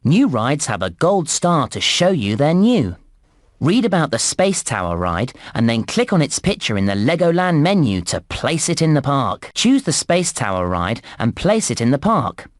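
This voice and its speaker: An actor playing a cheerful male park announcer, giving scripted narration and clear instructions to young players in a children's game. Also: mid-range voice, big pitch swings, moderate pace, no room sound, clean studio sound, natural voice. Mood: encouraging, helpful. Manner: upbeat presenter delivery, clear instructions.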